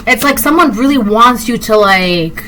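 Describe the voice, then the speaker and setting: Bright-voiced woman doing a tarot reading. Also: a little high, animated, moderate pace, a little hiss or hum, rough recording. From Puerto Rico. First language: Spanish. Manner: rambling, many fillers and restarts. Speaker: tarot reader. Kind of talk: casual intuitive reading to camera.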